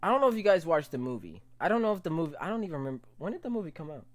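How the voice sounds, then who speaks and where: Casting: young American male reaction host, jokey and slangy, widely swinging pitch, close dry mic. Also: rapid-fire, no echo. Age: young adult. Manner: jokey, animated, slangy, often laughing. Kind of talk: casual reaction commentary. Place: small room, indoors. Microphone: desk condenser mic with pop filter.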